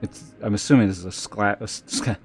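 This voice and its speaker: A man's voice: on the low side, a little fast. Male livestreamer over music. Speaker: live streamer host. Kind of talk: casual livestream chat and reading aloud.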